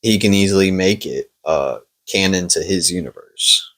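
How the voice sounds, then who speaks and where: A man's voice: sits low, moderate pace, a bit bright. A man chatting casually about superhero movies, rambling with lots of fillers. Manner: rambling, hesitant with many fillers. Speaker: podcast host.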